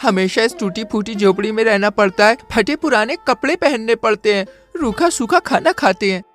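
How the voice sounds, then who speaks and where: A woman's voice: on the high side, normal speed, soft background music, close to an everyday voice. Woman voicing a sad poor young boy. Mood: pleading. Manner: pleading, complaining.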